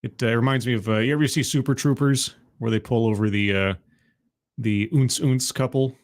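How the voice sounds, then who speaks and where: A man talks over a replayed panel in a sardonic, rambling way, often restarting his sentences. His pitch sits in the middle of the range. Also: steady tone, normal speed. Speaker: streamer commenting on a video. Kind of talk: casual reaction commentary.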